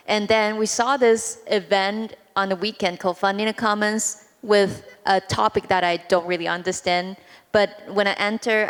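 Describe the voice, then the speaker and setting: A woman's voice: mid-range voice, clear, resonant tone. Panelist woman, chatty and casual, clean voice ringing in a big hall. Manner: chatty, many fillers. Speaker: panelist, event organizer. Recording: long reverb of a large hall.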